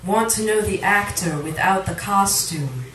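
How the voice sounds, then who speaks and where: A woman reciting poetry at a live reading, with dramatic, deliberate phrasing. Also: mid-range voice. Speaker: poet, featured reader. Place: literary cafe, indoors, live reading. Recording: echoey room recording from far off, audience noise. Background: cafe audience sounds.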